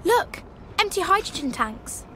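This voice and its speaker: High-voiced, knowing girl. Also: sing-song, a little slow, sharp tone, slight background noise, exaggerated voice. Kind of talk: video game character acting.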